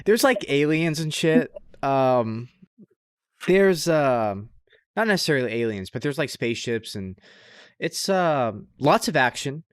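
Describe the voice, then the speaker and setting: A man's voice: mid-range voice, animated, unhurried, smooth, no room sound, studio quality. A man co-hosting a podcast, chatting casually and enthusiastically with slangy, profane language and emphatic recommendations. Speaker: podcast co-host. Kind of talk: casual chat about anime.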